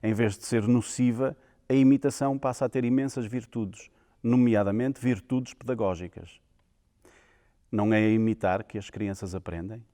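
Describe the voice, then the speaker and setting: Mellow European Portuguese male narrator, reverberant hall. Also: fairly low pitch, moderate pace. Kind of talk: scripted educational narration.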